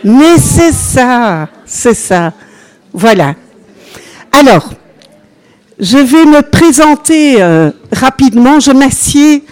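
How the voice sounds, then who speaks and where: An older woman with a Belgian French accent, a religious sister giving an informal, chatty teaching to a seated group and often pausing to address them directly. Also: high voice, big pitch swings, a little mellow. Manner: chatty, unhurried, peppered with "voilà", engages listeners.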